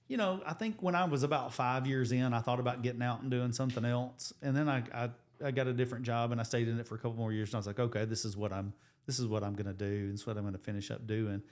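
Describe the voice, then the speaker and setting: An American man in his late forties, co-hosting a relaxed podcast chat. He rambles through figures and plans, circling back and restarting his sentences as he goes, at an average pitch. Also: fast. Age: 40s. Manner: rambling, restarts, thinks out loud with numbers. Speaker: podcast co-host, high school coach and teacher. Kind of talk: casual chat.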